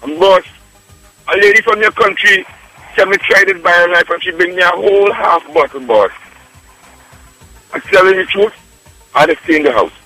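Trinidadian male caller, 50s, high bright voice, eager and effusive. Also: normal speed, long pauses, dry recording, soft background music, low-quality recording. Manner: enthusiastic, keeps calling the host "boss". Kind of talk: phone-in radio testimonial.

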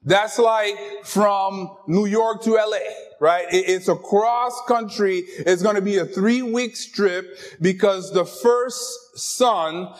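Pastor, man, French first language, high voice, joking and lively with the congregation. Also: slow pace. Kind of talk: sermon.

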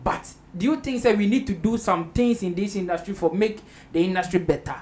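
A man's voice: high voice, fairly quick, darkish tone. A man with a Ghanaian accent hosting a roundtable, chatting casually in Pidgin-flavoured speech and echoing what his guests say. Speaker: roundtable host. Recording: noisy room with ambient background.

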